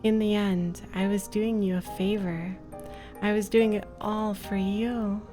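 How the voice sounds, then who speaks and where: A woman voicing a lovesick, possessive witch in a visual novel, speaking slowly and formally with menacing tenderness. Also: medium pitch, little pitch movement, over music, lightly acted. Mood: possessive, tender. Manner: slow, deliberate, formal. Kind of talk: dramatic visual novel reading.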